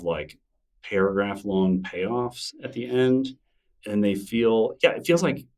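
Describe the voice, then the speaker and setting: A man's voice: lowish voice, far from the mic. A middle-aged man, a guest in an interview, talking in a thoughtful, rambling way with many fillers and restarts. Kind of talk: casual interview conversation.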